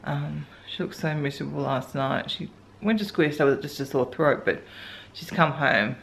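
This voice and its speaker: An Australian woman in her forties chatting through a daily home vlog, rambling with soft ums and sounding a little congested. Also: low voice, normal speed, vocal fry, slight background noise. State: congested, getting a cold. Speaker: vlogger. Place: living room couch at home. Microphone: handheld camera held close.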